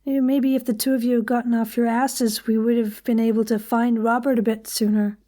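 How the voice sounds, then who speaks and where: A woman's voice: a little high, level tone, fairly quick, dark tone, lightly acted. A woman playing an impatient, confrontational character in an audio drama. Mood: accusing.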